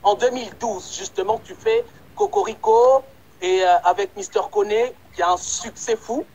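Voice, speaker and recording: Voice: medium pitch, very animated, normal speed, a little mellow, breathy edge, muffled recording. An interviewer who hosts a casual chat, probing the guest and recapping each of her answers. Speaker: interviewer, host.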